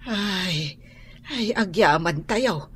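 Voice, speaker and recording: A woman's voice: medium pitch, animated, drawn-out words, a bit bright, a little hiss or hum. An actress playing a caring, prayerful grandmother in a radio drama. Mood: grateful, relieved.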